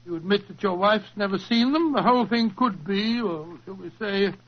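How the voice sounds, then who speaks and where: An actor in a 1940s radio drama playing a polite, hesitant gentleman who assists a detective in a soothing way. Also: high-pitched, lively intonation, moderate pace. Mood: placating. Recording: dull, muffled 1940s radio recording.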